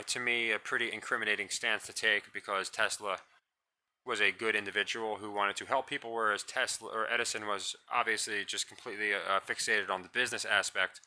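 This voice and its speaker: A man ranting in a blunt, scornful way in a solo commentary, rambling and restarting his sentences. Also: fairly low pitch. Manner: describes own voice as monotonous. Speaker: commentary channel host.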